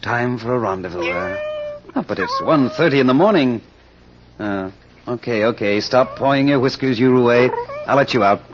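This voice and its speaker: An actor playing a wry, musing amateur sleuth in a 1940s radio mystery, talking in a conversational way. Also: male voice, mid-range voice, expressive, moderate pace, natural voice. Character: wry, musing amateur sleuth narrating his own case. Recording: noisy, dull old radio recording.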